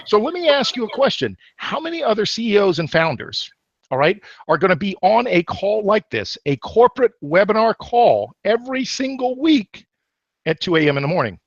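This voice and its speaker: A man's voice: high-pitched, sing-song, normal speed, dry recording, highs cut off. A man hosting a weekly webinar call, asking questions in a jokey, hyped-up way and calling his guest "my man.". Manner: jokey, hype-driven, calls the guest "my man". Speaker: webinar host, interviewer. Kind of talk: webinar interview.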